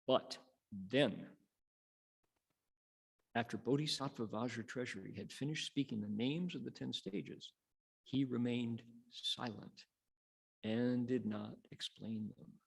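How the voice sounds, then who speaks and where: A man reciting and teaching Buddhist scripture as a monk, in a measured, dramatic storytelling manner with pauses. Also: medium pitch, creaky, slightly rough, low-quality recording. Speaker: Buddhist monk, sutra lecturer. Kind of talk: sutra recitation and dharma lecture.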